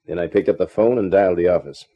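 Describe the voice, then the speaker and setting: An actor playing a wry, wisecracking private detective who narrates a 1950s radio drama. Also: male voice, low voice, fast, slight background noise, natural voice. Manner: dry, wisecracking, laconic. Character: wry hard-boiled male private eye. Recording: dull 1950s radio recording.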